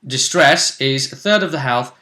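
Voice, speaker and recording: A man's voice: medium pitch, expressive, moderate pace, pushed and bright. A man casually explaining the rules of a tabletop role-play game as a host, chatting with a co-host. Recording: roomy, echoing room sound.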